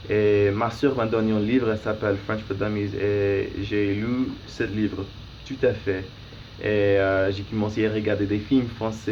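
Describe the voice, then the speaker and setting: A young American man in his early twenties speaks a second language with an American accent, his native tongue being English. His voice sits fairly low and he goes slowly and haltingly, searching for words in a casual self-interview. The recording is dull and lo-fi, with a faint noise behind him. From United States, raised in Florida. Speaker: language learner making an accent tag video. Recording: muffled lo-fi home recording with noise.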